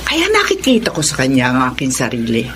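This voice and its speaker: A woman's voice: extremely low pitch, wildly swooping pitch, brisk, fairly bright tone, slight background noise, heavily acted. A woman acting a frank, probing middle-aged mother in a Filipino radio drama, with a Filipino accent. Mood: reflective.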